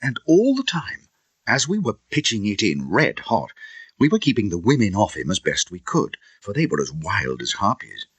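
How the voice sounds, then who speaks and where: A man voicing an indignant Victorian gentleman with a husky British voice, telling a grim tale in an emphatic, theatrical audiobook style. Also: fairly low pitch, huge pitch swings, normal speed, no echo, heavily acted. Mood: vivid, indignant. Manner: emphatic, theatrical, conversational asides. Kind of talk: dramatised audiobook storytelling.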